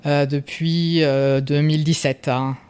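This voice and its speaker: A woman's voice: deep voice, unhurried, clear, resonant tone. A woman in her forties, a startup founder, talking casually and reflectively as an interview guest, often tagging her sentences. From Paris, France. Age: 40s. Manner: relaxed, reflective, tags sentences with "hein".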